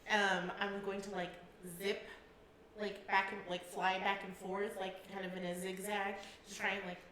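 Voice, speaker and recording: A woman playing a tabletop game, quick and giggly. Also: mid-range voice, level tone, a bit bright. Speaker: player. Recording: roomy with faint noise.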